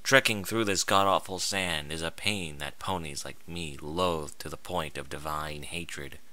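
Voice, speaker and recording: A man's voice: a bass voice, fairly even intonation, measured pace, pushed and bright, voice pushed down, full character voice. A man voicing a weary, foul-mouthed female pony traveler who grumbles irritably in an audio story. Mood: weary, grumbling.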